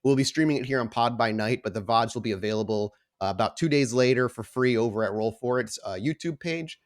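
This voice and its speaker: A man's voice: mid-range voice, a little fast. A man speaking as the host and storyteller of a tabletop roleplay podcast. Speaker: host and game storyteller of an actual play podcast. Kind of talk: casual announcements, then slow scene-setting narration.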